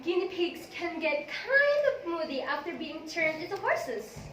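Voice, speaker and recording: Woman acting very high, bright, fussy fairy godmother. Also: very animated, measured pace, exaggerated voice. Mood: fussy, apologetic. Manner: apologetic, sweetly fussy. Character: kindly, fussing, scatterbrained fairy godmother. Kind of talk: amateur readers' theater. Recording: distant, echoey room, faint noise.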